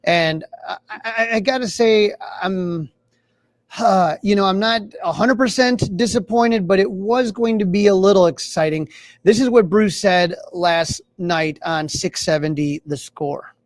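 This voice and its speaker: A man's voice: high-pitched, unhurried. A man co-hosting a sports podcast, talking in a casual, conversational style. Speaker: podcast co-host.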